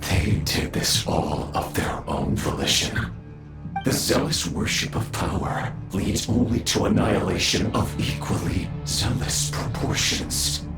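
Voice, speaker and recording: An actor voicing an arrogant, power-hungry undead villain in a video game, speaking theatrically with condescending pauses. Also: male voice, sits low, lively intonation, reverberant, music in the background. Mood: smug, scornful. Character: menacing undead male villain, arrogant sinner craving power.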